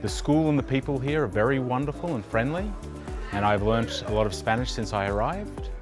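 A man's voice: medium pitch, expressive, normal speed, music in the background. A man with an Irish accent, a native English speaker, giving a student testimonial to camera. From Ireland. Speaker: language student, lawyer.

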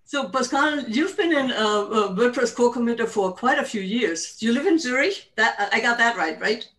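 A woman's voice: on the high side, lively intonation, normal speed, fairly bright tone, far from the mic. Older woman hosting a video call. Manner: hesitant, many ums, laughs easily. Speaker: host. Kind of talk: casual video call interview. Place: home study with bookshelves.

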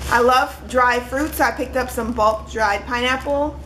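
A woman's voice: on the high side, steady tone, measured pace, background noise. Chatty young woman showing groceries. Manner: chatty, holding up items one by one. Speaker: vlogger. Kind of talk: casual grocery haul vlog. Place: home kitchen, indoors.

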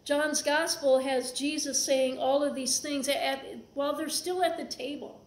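A middle-aged woman pastor with an Upper Midwest American accent preaching a relaxed, conversational sermon in her soft voice from a small church. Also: high-pitched, a little slow. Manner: conversational, gestures while preaching seated. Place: small church sanctuary, indoors. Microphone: fixed camera in front. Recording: echoey room, faint hiss.

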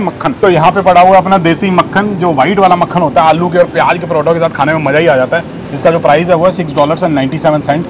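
A man in his thirties talks quickly and a little high as he walks the aisles of a big supermarket, chatting to a phone held at arm's length and reading out prices. Music plays clearly behind him. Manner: chatty, reads out prices to camera. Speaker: vlogger. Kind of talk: casual shopping vlog. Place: large supermarket, indoors.

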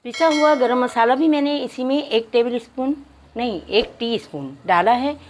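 A woman's voice: fairly high pitch, normal speed, darkish tone, slight background noise. A woman narrating a cooking tutorial step by step, addressing viewers politely. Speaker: cooking channel host.

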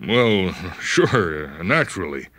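A man's voice: deep voice, very animated, slow, sharp tone, no echo, exaggerated voice. A man voicing a powerful, patronizing, wistful corporate executive in a story reading, with a genial, hesitant manner. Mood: genial, uneasy.

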